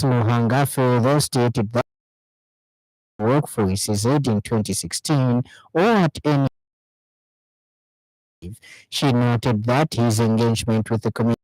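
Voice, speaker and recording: A man with a Zambian accent reading a radio news bulletin in a formal, measured way as the presenter. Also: medium pitch, darkish tone, no echo, poor audio.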